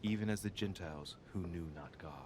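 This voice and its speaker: An actor playing a brooding investigator in a video game, speaking in a measured, solemn and formal way. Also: male voice, sits low, heavy background noise, lightly acted. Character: brooding male investigator examining religious paintings and scripture. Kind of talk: scripted video game voice acting.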